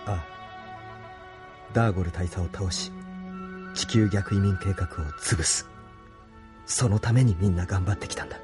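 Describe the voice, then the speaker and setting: A man's voice: deep voice, moderate pace, pauses often, lightly acted. A man playing an earnest young resistance pilot in an anime audio drama, solemn and determined in delivery. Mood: resolute. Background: background music.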